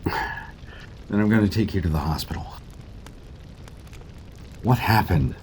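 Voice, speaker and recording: Male actor as gruff tough guy, wry, low voice, audio drama, faint background noise. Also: animated, takes it slowly, a little mellow, poor audio. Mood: urgent. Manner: swearing, wry, urgent. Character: gruff, sardonic tough guy coming to the rescue.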